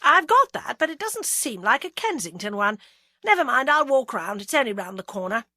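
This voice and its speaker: Brisk, defensive middle-aged businesswoman, read by a woman narrator; bright, dry, animated. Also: a little high, dry recording, pitched above the performer's own voice, heavily acted. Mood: sharp. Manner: sharp, demanding, defensive.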